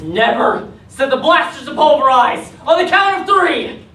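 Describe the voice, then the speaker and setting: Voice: high-pitched, animated, measured pace, fairly bright tone, exaggerated voice. A teenage performer playing a bold boy hero, a starship captain giving declamatory commands in a stage reading. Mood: defiant. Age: teens. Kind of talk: reader's theatre stage performance. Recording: far mic in echoey, noisy auditorium.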